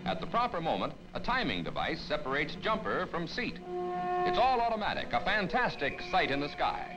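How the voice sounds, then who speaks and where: High-pitched, dramatic American newsreel narrator, man. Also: big pitch swings, fairly quick, lo-fi sound. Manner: dramatic, declamatory. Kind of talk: 1950s newsreel narration, scripted. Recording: vintage 1950s film soundtrack. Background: loud orchestral newsreel music.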